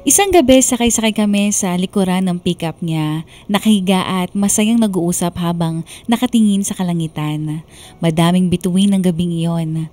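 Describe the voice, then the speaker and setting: A woman's voice: on the low side, moderate pace, dark tone, lightly acted. A woman voicing a sentimental young woman narrating her love story in a Filipino radio drama. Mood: smitten, sentimental. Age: young adult. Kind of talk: scripted dramatic first-person narration.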